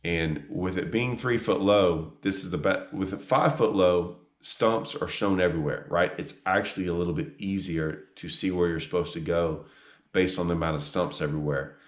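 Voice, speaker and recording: Deep voiced guy in his 30s, earnest, lots of ums, echoey room, camera mic. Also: moderate pace. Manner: earnest, repeats himself, many ums and restarts, gestures with hands. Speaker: fishing guide giving a tournament report. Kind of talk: casual spoken fishing advice to camera. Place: small room indoors. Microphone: camera on a tripod a few feet away.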